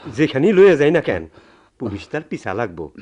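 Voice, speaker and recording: A man's voice: medium pitch, big pitch swings, fairly quick, a little mellow. A man playing a loyal, worried villager in a radio drama, pleading urgently in a rural Bangladeshi dialect. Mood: alarmed, pleading. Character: loyal, alarmed village man, earnest helper.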